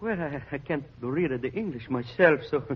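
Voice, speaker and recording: An actor playing a humble, proud Italian immigrant father with an Italian accent and halting broken English in 1940s radio. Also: a little high, animated, breathy edge. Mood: shy, hopeful. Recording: muffled vintage radio recording.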